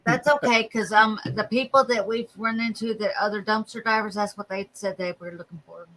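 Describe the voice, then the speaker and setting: A woman co-hosts a live call-in podcast, her voice bright and clear. She jokes and teases the caller with a playful ease. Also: mid-range voice, fairly even intonation, a little fast, low-quality recording. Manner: teasing, playful. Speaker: podcast co-host. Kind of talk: casual podcast chat.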